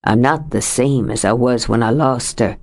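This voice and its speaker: A woman voicing a grieving, stubborn middle-aged widower who speaks low, heavy and bitter in an audiobook. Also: moderate pace, darkish tone. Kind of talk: audiobook character reading.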